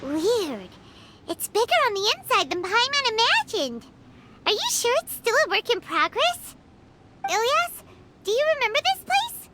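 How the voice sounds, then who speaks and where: A woman voicing a small, childlike, cheerful and curious fairy companion in a video game, squeaky and naive. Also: very animated, a little slow, full character voice. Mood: puzzled, worried. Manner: speaks of self in third person, exclaiming. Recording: very noisy game screen capture.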